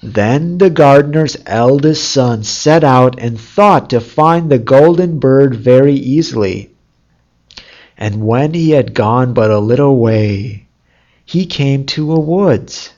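Slow, measured fairy tale storyteller. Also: mid-range voice, lively intonation, a little mellow, lo-fi sound. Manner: measured, deliberate reading. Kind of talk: scripted fairy tale narration.

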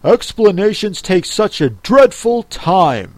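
A reader voicing a brusque, impatient and solemn beast in a storybook, speaking forcefully. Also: mid-range voice, sing-song, slow pace, no echo, pitched above the performer's own voice. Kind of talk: storybook character reading.

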